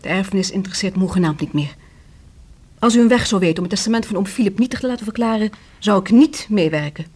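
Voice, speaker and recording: A woman's voice: medium pitch, fast talker, a little mellow, slightly rough, no room sound, close to an everyday voice. An actress in a Dutch radio play as a proud, defiant woman being questioned by police, speaking formally with a sardonic edge. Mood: cool, dismissive.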